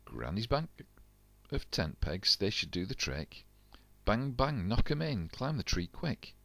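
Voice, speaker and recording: A plucky, unflappable boy sailor, put on by a grandpa reading a storybook. He chants his lines in a bouncy sing-song, lifted high and swooping wildly. The sound is close and dry. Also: sing-song, unhurried, creaky, a bit bright, no room sound, full character voice. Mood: chanting.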